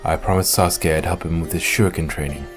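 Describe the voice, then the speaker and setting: A man playing a solemn, reserved young ninja and elder brother in an audio drama, speaking in a measured, formal, brooding way. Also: rumbling low, steady tone, over music. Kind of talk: fan audio drama acting.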